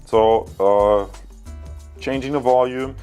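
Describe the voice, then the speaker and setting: A man's voice: medium pitch, fairly even intonation, slow pace, dark tone, soft background music. A man talking casually and unscripted to viewers as a product reviewer, explaining things in a rambling, conversational way. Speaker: product reviewer, channel host.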